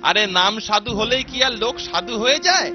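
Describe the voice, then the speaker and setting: Cartoon comic guard: bossy, lively high voice, muffled sound with music behind. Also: male voice, brisk. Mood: scoffing. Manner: animated, scoffing, bantering. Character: bossy, wisecracking cross-eyed male guard, comic sidekick.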